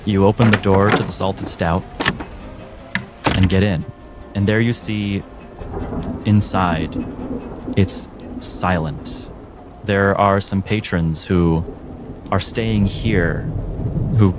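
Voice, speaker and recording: A man narrating a tabletop role-playing game as game master, in a measured, dramatic way. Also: deep voice, fairly even intonation, noisy background. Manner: measured, dramatic scene-setting. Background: music.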